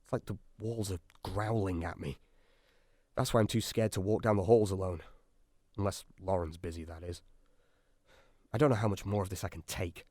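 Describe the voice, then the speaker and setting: An actor playing an anxious, self-doubting, sardonic submarine doctor in a radio drama. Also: male voice, low-pitched, fairly even intonation, normal speed, long pauses, darkish tone. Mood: fearful, confiding. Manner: nervy, sardonic, brooding. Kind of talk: radio drama acting with diary-style monologue.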